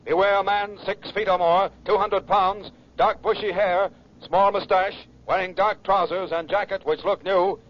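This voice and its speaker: A man's voice: high-pitched, fairly even intonation, normal speed. A man reading a police bulletin in 1940s radio style, clipped and telegraphic. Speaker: crime bulletin announcer. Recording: noisy, distant, muffled vintage radio.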